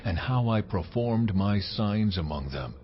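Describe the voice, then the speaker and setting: A stern, low-voiced God. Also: male voice, lively intonation, measured pace. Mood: solemn. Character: God, commanding, solemn and stern deity. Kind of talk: dramatized audio Bible acting. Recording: dull lo-fi audio with background noise.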